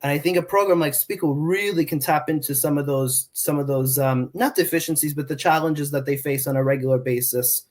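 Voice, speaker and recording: An earnest man in his twenties, a Spanish teacher who grew up in New York speaking Portuguese at home. He talks with a voice a little higher than most men's, circling his points with fillers and restarts in a relaxed interview. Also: fairly quick, hardly any pauses. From New York, United States. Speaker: middle school Spanish teacher. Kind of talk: casual interview answers.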